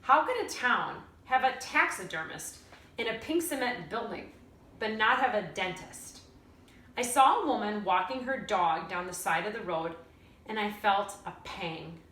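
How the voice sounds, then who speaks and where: Lively woman reading aloud in an echoey room. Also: mid-range voice, a little slow. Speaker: teacher reading a chapter book aloud. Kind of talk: expressive read-aloud storytelling. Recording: roomy echo, distant mic.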